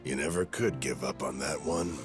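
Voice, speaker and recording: An actor playing a gruff, weathered middle-aged yakuza in a video game, wry and world-weary, with dry, clipped phrasing. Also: male voice, extremely low pitch, normal speed, over music. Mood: wistful. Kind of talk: video game cutscene acting.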